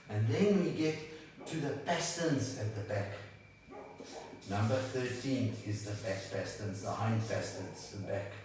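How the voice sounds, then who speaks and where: A man in his sixties, not a native English speaker, giving an animated whiteboard lecture and checking in with "right?" again and again. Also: medium pitch, slight background noise. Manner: animated, gestures and draws while explaining, asks "right?" often. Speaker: dog breed instructor. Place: small indoor room by a whiteboard. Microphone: camera a few meters away. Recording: echoey room, mic at a distance.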